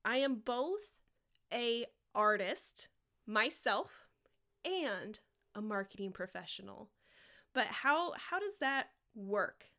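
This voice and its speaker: High-voiced, enthusiastic American woman podcaster. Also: big pitch swings, takes it slowly, pushed and bright. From Washington state, United States. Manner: enthusiastic, encouraging, addresses listeners directly. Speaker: podcast host and marketing coach. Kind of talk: solo podcast monologue, personal storytelling.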